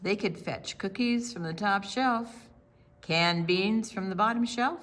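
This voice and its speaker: A woman hosting a children's storytime, reading a picture book aloud with theatrical, deliberate phrasing that draws in young listeners. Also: mid-range voice, a bit bright.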